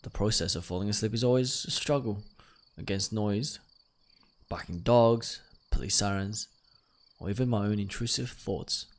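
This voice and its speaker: A man's voice: lowish voice, moderate pace. A man doing let's-play commentary, reading game text aloud and reacting in a jumpy, nervous way. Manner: jumpy, exclaims nervously between read-out passages. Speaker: video game streamer.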